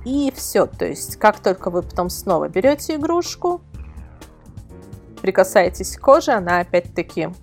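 A woman's voice: medium pitch, sing-song, moderate pace, faint music behind. A woman giving an informal, detailed explanation as a guest expert, talking through examples. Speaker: guest expert, editor of a product review site. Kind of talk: informal explanatory interview talk.

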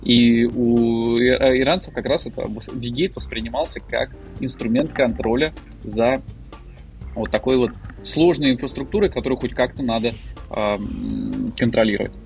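A man with a gravelly, creaky voice of middling pitch talks as a guest expert in a loose panel chat. He explains things colloquially, stumbling through fillers and restarting his sentences. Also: moderate pace, darkish tone, soft background music. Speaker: marketer. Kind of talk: casual panel discussion.

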